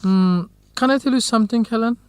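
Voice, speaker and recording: A man acting a gentle, supportive young researcher and loyal friend in a radio drama, speaking in measured, carefully enunciated lines. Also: very high voice, smooth, dry recording. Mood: hesitant, uneasy. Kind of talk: radio drama acting, clear dialogue for language learners.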